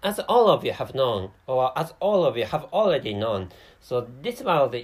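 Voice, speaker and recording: Japanese-accented male podcaster, non-native English, plodding, self-correcting, lively intonation. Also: mid-range voice. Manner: halting, many restarts and self-corrections. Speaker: podcast host. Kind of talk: solo podcast monologue.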